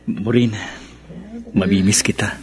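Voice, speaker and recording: An actor playing a devoted, worried young soldier in love, earnest and hesitant in a radio drama. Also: male voice, lowish voice, fairly even intonation, a little slow, a crowd in the background, lightly acted. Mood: tender, worried. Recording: music under the voice. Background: music.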